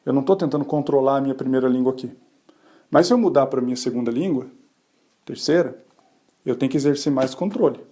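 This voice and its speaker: A man's voice: mid-range voice, fast, long pauses, dark tone. A man hosting a podcast, explaining the science of bilingualism in a casual, conversational way, often asking and answering his own questions. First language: Portuguese. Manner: tag questions and fillers.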